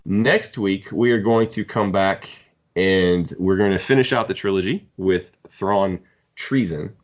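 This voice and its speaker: A man hosting a podcast, casually discussing books in a halting, opinionated fan's way. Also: low voice. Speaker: podcast host. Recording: dull lo-fi podcast audio.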